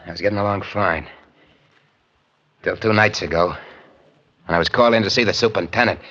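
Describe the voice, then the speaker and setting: An actor playing a paranoid, gun-wielding killer in 1940s radio crime style, talking in tough slang. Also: male voice, on the low side, bright, forceful tone. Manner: slangy, tough-guy talk with double negatives. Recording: dull old radio recording with music.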